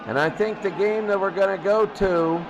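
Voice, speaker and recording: A man hosting a sports show, giving off-the-cuff football score commentary in clipped fragments with pauses. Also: sits high. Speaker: sports studio host. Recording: clearly noisy background.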